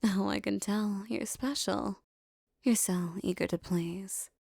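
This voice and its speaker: A woman playing a regal, possessive empress who coaxes and teases a captive servant in soft, condescending tones, often near a whisper, in an intimate roleplay audio. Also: medium pitch, fairly even intonation, takes it slowly, creaky, dry recording, studio quality. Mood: doting, coaxing.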